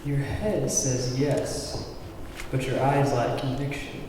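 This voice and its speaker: A man's voice: medium pitch, level tone, slow pace, lightly acted. A man playing an eager, submissive yet demanding businessman client in a table read. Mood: intense, reverent. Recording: very echoey hall, far mic, clear noise.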